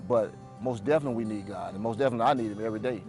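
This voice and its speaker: A man's voice: lowish voice, racing, a little mellow, faint music behind. A man speaking about his faith and his work in a heartfelt testimonial, often saying "you know". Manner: heartfelt, repeats himself, lots of "you know". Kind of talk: testimonial interview.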